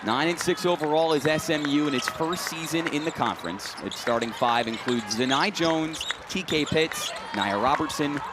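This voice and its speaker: A man's voice: fairly high pitch, expressive, normal speed, hardly any pauses, heavy background noise. A man calling live sports play-by-play in an announcer's delivery that rises on big plays.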